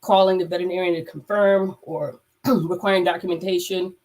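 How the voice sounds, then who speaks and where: A woman's voice: lowish voice, fairly even intonation, fairly quick, noisy background. A woman veterinarian hosting a casual live stream, her voice congested, talking in a rambling way with many fillers and restarts. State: congested from a cold. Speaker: veterinarian and podcast host. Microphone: video call.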